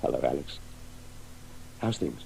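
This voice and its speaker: An actor playing a pensive, hesitant father in a radio drama, halting and reflective. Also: a bass voice, big pitch swings, gravelly, mellow, slight background noise, full character voice, rough recording. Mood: subdued, reflective. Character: pensive, hesitant father narrating his strange dreamlike adventures. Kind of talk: 1980s radio drama acting.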